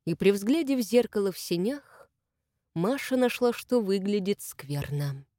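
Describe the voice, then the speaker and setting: A woman reading a novel aloud as an audiobook narrator, in an even, measured manner. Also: mid-range voice, no echo, clean studio sound. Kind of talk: scripted narration.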